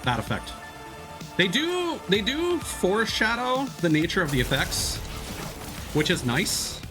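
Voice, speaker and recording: A male game streamer commenting casually as he plays. His delivery is playful and animated, swinging widely in pitch, with clear music behind him. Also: measured pace. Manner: joking, playful. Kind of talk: casual live let's play commentary.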